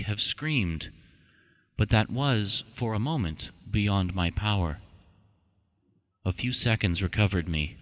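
A man narrates a ghost story audiobook in a fairly low voice. His delivery is measured, formal and deliberate. A long reverb trails behind his words.

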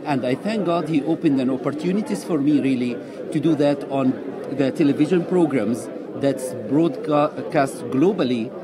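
A man's voice: a little high, normal speed, low-quality recording. A man in his sixties with an Egyptian accent, a native Arabic speaker, answering interview questions eagerly in slightly broken English in a busy lobby. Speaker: guest. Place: busy indoor conference lobby. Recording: crowd chatter behind.

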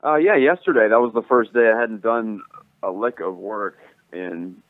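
A man's voice: lowish voice, normal speed, dry recording. A man calling in as a sports reporter, talking steadily and in detail. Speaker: sports reporter, phone guest. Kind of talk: sports commentary over the phone. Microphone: phone. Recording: tinny phone line.

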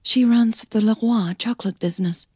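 A woman's voice: on the high side, normal speed, dark tone, slightly rough, dry recording, clean studio sound, pitched above the performer's own voice. A woman voicing an anxious, exasperated young woman in an audio drama, emphatic with her mother. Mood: reluctant.

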